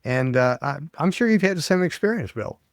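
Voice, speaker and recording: An older American man, a public speaking coach, chatting as an interview guest and telling stories with lively quoted voices. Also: fairly high pitch, a little fast, dry recording, clean studio sound. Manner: animated storyteller, acts out quoted voices. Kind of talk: casual interview chat.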